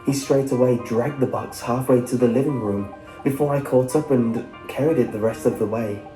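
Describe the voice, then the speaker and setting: A man's voice: mid-range voice, moderate pace, dark tone, reverberant, poor audio. A man reading a scripted first-person story as a narrator. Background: background music.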